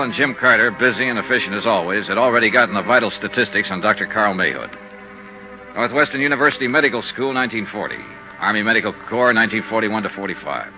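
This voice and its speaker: A man's voice: fairly low pitch, close to an everyday voice. An American man acting a tough, persistent insurance investigator in a 1950s radio detective drama, clipped and probing. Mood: matter-of-fact narration. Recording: muffled lo-fi 1950s radio recording.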